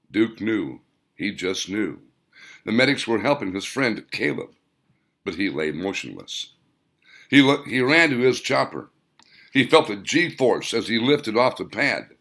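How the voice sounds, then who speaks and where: A man's voice: mid-range voice, big pitch swings, unhurried, vocal fry, fairly bright tone. A man reading his own novel aloud as scripted audiobook narration, with dramatic, emphatic phrasing.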